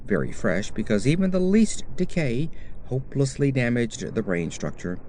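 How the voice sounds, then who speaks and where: A man narrates a scripted horror tale in the first person. His voice is dark and mellow, at a medium pitch, and his delivery is measured and literary. Some noise sits behind the reading. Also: sing-song. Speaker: audiobook narrator.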